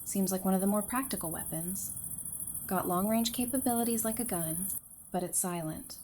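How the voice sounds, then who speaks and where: Woman playing frank curious survivor gal, audio drama, mid pitch, wry, bit of noise behind. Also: normal speed, darkish tone, natural voice. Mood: friendly. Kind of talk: fan audio drama acting.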